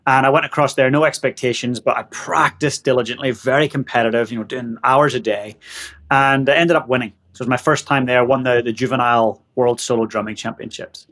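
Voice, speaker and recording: A man with a Northern Irish accent tells his story in an interview, his pitch sitting mid-range for a man. He is in his thirties, energetic and anecdotal, and he laughs easily between his lines. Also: fairly quick. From Northern Ireland. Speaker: guest, leadership coach.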